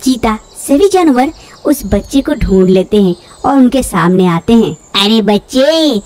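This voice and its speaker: A woman's voice: a little high, lively intonation, moderate pace, mellow. Female storyteller narrating a children's fable.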